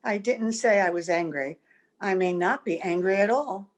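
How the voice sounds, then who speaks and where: A woman playing a wistful, secretive gentlewoman in old-time radio drama style, earnest and gentle with a melodramatic touch. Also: medium pitch, moderate pace, lightly acted. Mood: coolly polite. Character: wistful, secretive mother posing as a young visitor. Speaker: actor in an amateur radio play. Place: at home, recorded over video call.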